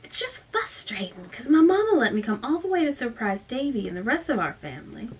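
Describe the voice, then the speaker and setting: Woman putting on a breathy sweet Southern teenage girl. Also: fairly high pitch, sing-song, recorded from a distance, voice pushed up. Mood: pleading. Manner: demure, pleading, drawn-out syllables.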